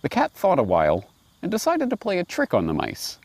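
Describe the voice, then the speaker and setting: A middle-aged man presenting and reading aloud in a musing, wry, expressive way, recorded outdoors on a lapel mic. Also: fairly high pitch, fairly quick, creaky, no echo. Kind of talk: reflective commentary and storybook reading. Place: outdoors in a grassy park.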